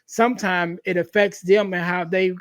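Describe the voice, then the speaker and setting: A man with a high-pitched voice hosts a conversational podcast. He speaks emphatically and often checks that he is being followed. The sound is dry, with very little room in it. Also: level tone, normal speed, fairly bright tone, no room sound. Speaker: podcast host.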